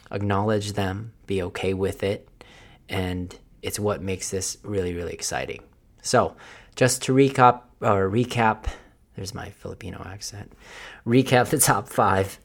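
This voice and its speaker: A man talking earnestly to camera in a motivational fitness vlog, restarting phrases often and slowing down to stress key points. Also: on the low side, gravelly. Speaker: fitness vlogger.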